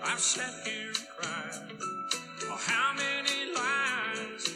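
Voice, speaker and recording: High-voiced woman singing slow country ballad. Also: lively intonation. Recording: loud guitar accompaniment. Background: plucked guitar.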